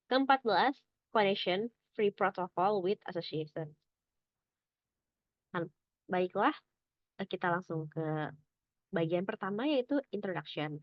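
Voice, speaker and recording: A young woman giving a scripted academic presentation as a student, in a measured, explanatory, somewhat halting manner. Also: mid-range voice, no echo, muffled recording. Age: young adult.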